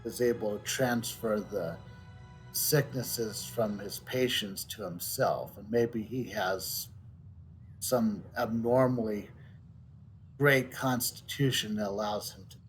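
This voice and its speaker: A man giving casual gameplay commentary, halting as he thinks aloud. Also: fairly low pitch, a bit bright, soft background music. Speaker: game streamer.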